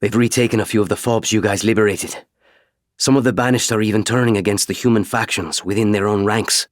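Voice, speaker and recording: Jittery male pilot played by a man, animated and eager, close dry sound, audiobook dialogue. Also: fairly low pitch, a little fast, no echo, close to an everyday voice. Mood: grim, urgent.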